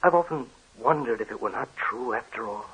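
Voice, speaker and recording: A brooding storyteller recounts a dark family legend in calm, measured tones. His voice is husky and sits at an ordinary pitch. The delivery has the polish of a vintage radio drama. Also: low-quality recording. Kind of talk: 1940s radio drama narration.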